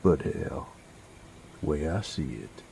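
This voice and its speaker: A man playing a gruff, cocky werewolf bounty hunter in a Western audio roleplay, drawling in an American Southern accent with sardonic taunts. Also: very deep voice, lively intonation, takes it slowly, mellow, a little hiss or hum, exaggerated voice. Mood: cocky, teasing. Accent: American Southern drawl, Western style.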